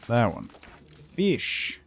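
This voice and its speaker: A man's voice: medium pitch, huge pitch swings, very slow, vocal fry, fairly bright tone, a little hiss or hum. A man chatting casually as he livestreams a game, muttering asides to himself and his viewers. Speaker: game streamer. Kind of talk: casual livestream gameplay chat.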